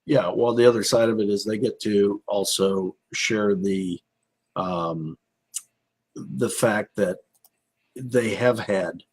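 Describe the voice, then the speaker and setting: A man co-hosting a casual business chat with a husky, rough-edged voice, piecing his sentences together haltingly. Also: on the low side, fairly even intonation, a little mellow. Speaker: co-host and business speaker.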